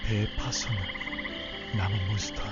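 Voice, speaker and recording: A man voicing a gentle, responsible young teacher and protector in an anime-style game story, earnest and reassuring. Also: on the low side, steady tone, unhurried, natural voice. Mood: grave, resolved. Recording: dull game audio under loud music.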